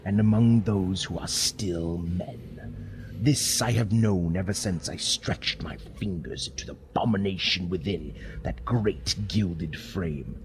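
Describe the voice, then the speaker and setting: A man reading a horror tale as narrator, solemn and theatrical, with drawn-out dramatic phrasing. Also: low-pitched. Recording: clear background ambience noise.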